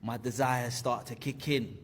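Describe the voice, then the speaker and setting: A man's voice: mid-range voice, steady tone, measured pace. A man in his thirties animatedly telling a personal story, acting out the voices of friends and his teacher.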